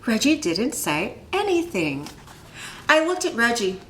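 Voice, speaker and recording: Animated woman reading a picture book aloud. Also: fairly high pitch, a little slow, slight background noise. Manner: animated, dramatizes dialogue, asks listeners questions. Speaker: instructional coach reading aloud to children. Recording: echoey room.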